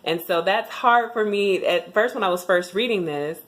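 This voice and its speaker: A woman's voice: mid-range voice, moderate pace, fairly bright tone. A young adult woman giving a casual tutorial vlog, chatty with frequent ums and restarts. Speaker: vlogger sharing language tips. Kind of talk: casual tutorial talk to camera.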